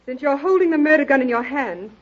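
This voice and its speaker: An actress playing a confident young girl detective in an old radio mystery, speaking briskly and taking command. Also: high voice, animated. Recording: muffled vintage radio drama recording.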